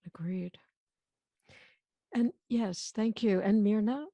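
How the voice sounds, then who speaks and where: A woman's voice: mid-range voice, takes it slowly, warm tone. An older woman hosting a video call, answering with brief affirming words into a mic on an arm. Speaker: host. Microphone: broadcast mic on arm, video call. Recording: dull lo-fi call audio.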